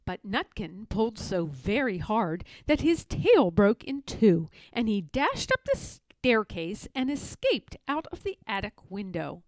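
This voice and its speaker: A woman reading a children's story aloud as an expressive narrator. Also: medium pitch, a little slow, few pauses, no room sound. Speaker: storybook reader.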